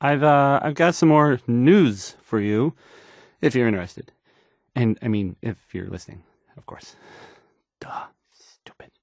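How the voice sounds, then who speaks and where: A man's voice: medium pitch, a little slow, no room sound, studio quality, lightly acted. An actor playing a shy, awkward young radio DJ in a game, stammering and trailing off as he hesitantly reads the news. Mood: self-doubting.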